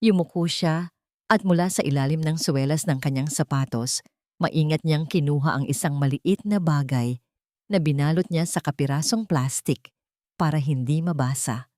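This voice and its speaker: A woman's voice: low voice, normal speed, darkish tone, no echo, studio quality. A woman narrator reading a dramatic scripted story.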